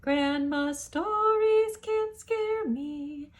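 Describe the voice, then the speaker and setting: A woman's voice: extremely high pitch, very slow, faint music behind, pitched above the performer's own voice, exaggerated voice. A woman voicing a bold, carefree small boy in a singsong storybook read-aloud. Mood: singing, defiant. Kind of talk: singsong picture-book character voice.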